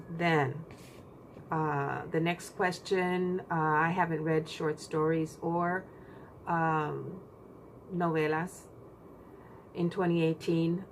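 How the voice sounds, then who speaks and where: A woman hosting a book channel, chatting casually with many pauses and fillers. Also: lowish voice, a little hiss or hum. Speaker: BookTube channel host. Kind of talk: casual book tag vlog.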